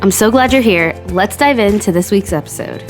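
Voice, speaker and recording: A woman's voice: mid-range voice, expressive, brisk, soft background music. Woman host with a scratchy, cold-worn voice. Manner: earnest, candid and upbeat. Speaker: podcast host. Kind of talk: solo podcast talk.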